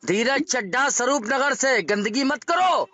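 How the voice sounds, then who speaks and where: A man's voice: very high voice, expressive, a little slow, pushed and bright, no echo. A man arguing heatedly on a phone call, belligerent, threatening and insulting, repeating himself and throwing bursts of English into his speech. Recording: dull lo-fi phone call recording.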